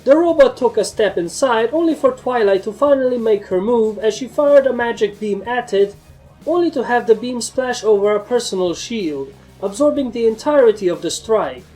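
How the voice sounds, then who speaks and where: A woman's voice: on the high side, expressive, normal speed, warm tone, soft background music, rough recording. A woman narrator reading a scripted first-person fantasy story.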